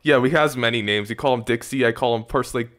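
Young male streamer, jokey, studio mic, mid pitch. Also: fast talker, fairly bright tone. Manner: joking, laughs often. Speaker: streamer hosting a reaction call. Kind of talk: casual chat. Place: bedroom at a desk. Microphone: studio mic with headset.

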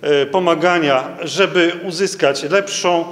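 A middle-aged man giving a conference talk at a stand microphone. He reads legal passages aloud in a halting way, with many fillers and restarts. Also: fairly high pitch, fairly bright tone. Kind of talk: conference lecture reading out court rulings. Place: conference hall, indoors, standing at a lectern. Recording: echoey hall, long reverb.

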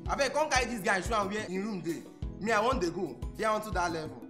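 A man's voice: high-pitched, lively intonation, quick pace. A young Nigerian man acting a helpful, jokey senior student in a Pidgin radio drama. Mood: joking. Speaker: actor. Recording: music under the voice.

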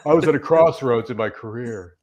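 A man in casual comic chat and reading reviews aloud, rambling and deadpan, with some swearing, on a video call. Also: medium pitch, brisk, crowd noise. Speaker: comedian guest. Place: indoors on a group video call.

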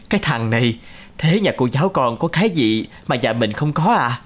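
A man's voice: mid-range voice, lively intonation, moderate pace, close to an everyday voice. An actor playing a proud, indulgent, middle-aged wealthy father in a cartoon. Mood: proud, amused. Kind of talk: cartoon dub acting.

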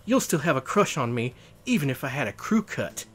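A man's voice: mid-range voice, sing-song, brisk, fairly bright tone, slightly rough. A man voicing a feisty, bossy young heroine in a storybook. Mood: advising.

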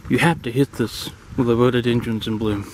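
A middle-aged man casually narrating a hike on a wooded lakeside trail in a relaxed, admiring way, recorded on a handheld camera. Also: mid-range voice, a little fast. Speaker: hiking vlogger. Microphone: handheld camera mic, speaker off camera. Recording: faint outdoor noise.

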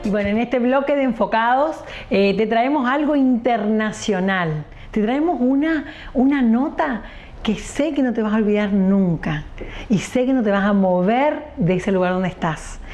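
A woman hosting a television interview, her voice mellow and dark. She praises her guest in an effusive, emphatic way. The sound is slightly dull and lo-fi. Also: fairly high pitch, animated, moderate pace. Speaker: TV host. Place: studio living-room set.

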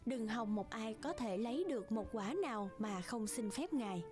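High-voiced woman narrating a fairy tale. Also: normal speed, music in the background.

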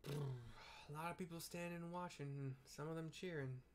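A man's voice: a little high, moderate pace. Casual male game streamer, faint background noise. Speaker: game streamer narrating the story aloud. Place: small room at home, indoors.

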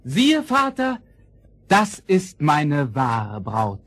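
An actor playing an earnest, noble young prince, declaiming formally in a children's fairy-tale radio play. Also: male voice, fairly high pitch, huge pitch swings, drawn-out words, a bit bright, no echo, exaggerated voice. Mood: joyful.

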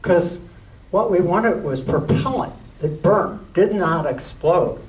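An older man giving a slow, deliberate explanation at a public talk in a museum meeting room. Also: sits high, lively intonation, darkish tone. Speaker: guest speaker. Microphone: handheld mic, far camera. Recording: roomy, distant camera audio.

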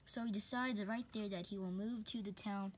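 Female storybook reader, average pitch, slightly halting delivery for children. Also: steady tone, noisy background. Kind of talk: reading a children's storybook aloud.

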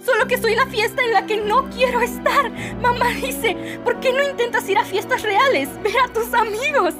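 An anguished young woman with a Latin American accent, acted by a woman in a fan dub, speaks in a very high voice over music. She delivers dramatic spoken-word poetry addressed to her mother. Her manner is emotive and pleading, with dramatic pauses. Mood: bitter, rising distress.

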